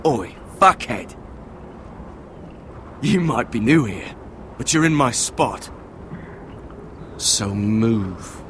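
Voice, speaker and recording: An actor playing a crude, surly bully of a recruit in a fantasy game, coarse and menacing. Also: male voice, mid-range voice, expressive, extremely slow, long pauses, fairly bright tone, a little hiss or hum. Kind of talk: dramatic fantasy game acting.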